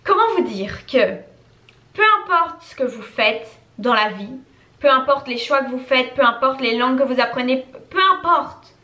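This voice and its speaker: A young woman from Belgium chats casually to camera, answering viewers' questions. She keeps stacking repeated words for emphasis, and her voice sits a little high. The room around her gives a noticeable echo. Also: normal speed, pushed and bright. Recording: echoey room.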